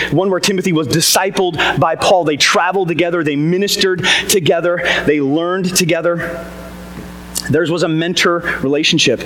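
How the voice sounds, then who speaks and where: A man with a boomy voice giving an energetic, chatty sermon to a church congregation, asking the listeners questions. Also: slight background noise. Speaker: pastor. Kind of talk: casual conversational sermon. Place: church service before a congregation. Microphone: amplified mic on a sound system.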